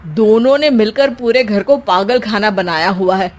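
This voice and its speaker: A woman's voice: medium pitch, moderate pace, close to an everyday voice. A woman voicing an exasperated adult son in a cartoon, who lectures his bickering family with sarcasm.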